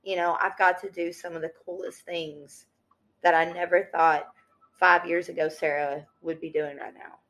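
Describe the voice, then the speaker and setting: A woman, chatting in a loose, slangy way and dropping "yo" and "like" everywhere. Her voice sits on the lower side for a woman. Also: normal speed. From Tennessee. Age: 30s. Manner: slangy, animated, peppered with "like" and "yo". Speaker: thrift and sewing guest. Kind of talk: casual podcast conversation.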